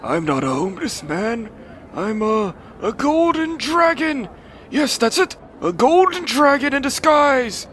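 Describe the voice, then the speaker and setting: A man's voice: very high voice, sing-song, a little slow, fairly bright tone, slight background noise, full character voice. A man playing an unhinged, stammering old dwarf who desperately rants in an audio drama. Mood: frantic, excited. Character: ranting homeless old dwarf man claiming dragonhood. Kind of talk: audio drama character acting.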